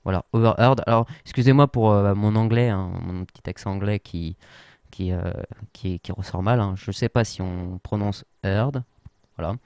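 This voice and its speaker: A man's voice: fairly low pitch, moderate pace, a little mellow, clear, resonant tone, no echo, studio quality. A man explaining a social media tool in an unscripted tutorial, full of fillers and restarts. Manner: hesitant, many fillers and restarts. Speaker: tutorial presenter.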